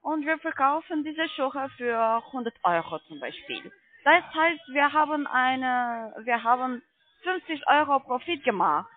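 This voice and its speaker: High-voiced woman on a tinny phone line. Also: expressive, unhurried. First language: not German. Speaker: call centre sales agent. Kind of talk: persuasive phone sales pitch. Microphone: telephone.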